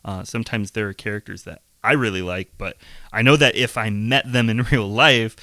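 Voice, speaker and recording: A man co-hosting a podcast in casual chat, earnest and reflective, with a voice turning raspy from being sick. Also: fairly low pitch, moderate pace, bright, forceful tone, no echo. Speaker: podcast co-host.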